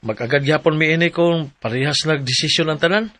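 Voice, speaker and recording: An actor with a Cebuano Filipino accent playing a friendly, persuasive buddy in a radio drama, with a chummy, coaxing manner. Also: male voice, fairly high pitch, a little fast, sharp tone. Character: friendly, persuasive male buddy pawning his land.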